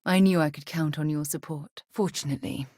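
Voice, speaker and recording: A woman playing a principled, earnest space explorer and leader in a video game, a husky voice and articulate, formal delivery. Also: low voice, a little fast, warm tone, no echo, clean studio sound. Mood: earnest, concerned. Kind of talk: scripted video game companion dialogue.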